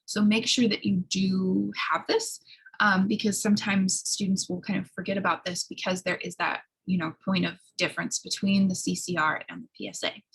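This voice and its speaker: A woman who sounds a little hoarse, giving an explanatory college writing lecture in an instructional way, often checking in with short tag questions. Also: mid-range voice, steady tone, normal speed, fairly bright tone, poor audio. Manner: instructional, frequent checking tags like "right?". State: slightly hoarse.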